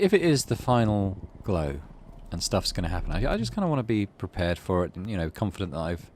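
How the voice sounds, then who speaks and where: Male let's player, low register, animated delivery, casual thinking-aloud commentary mixed with story reading. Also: a little hiss or hum. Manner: thinks aloud while playing. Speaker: gaming channel host playing a visual novel.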